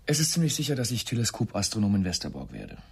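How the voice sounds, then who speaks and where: An actor playing a guarded, earnest male astronomer in a German radio drama, husky and brisk with a dry, controlled manner. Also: lowish voice, huge pitch swings, slight background noise, full character voice. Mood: earnest, determined.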